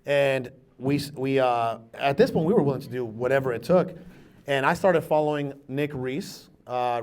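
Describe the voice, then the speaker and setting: A man at a meetup telling a story to a live audience, energetic and rambling, with restarts and questions thrown to the crowd. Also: mid-range voice. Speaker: guest speaker at a real estate meetup.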